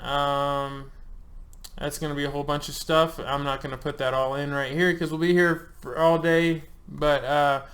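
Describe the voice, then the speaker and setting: A man's voice: fairly high pitch, little pitch movement, normal speed, a bit bright. A man in his thirties, the host of a hobby channel, walking viewers through an online form in a loose, chatty way, with frequent ums and uhs and the odd joke. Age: 30s. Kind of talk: casual screen-share walkthrough.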